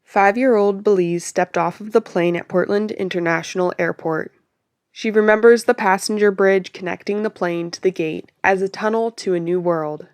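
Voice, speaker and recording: A woman's voice: medium pitch, moderate pace, a little mellow, no echo. A woman reporter reading a scripted narrative story.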